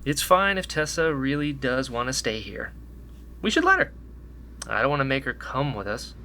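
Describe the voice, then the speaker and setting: A man playing a hopeful, excitable young rebel in a sci-fi audio drama, earnest and loyal. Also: medium pitch, normal speed, slight background noise. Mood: concerned.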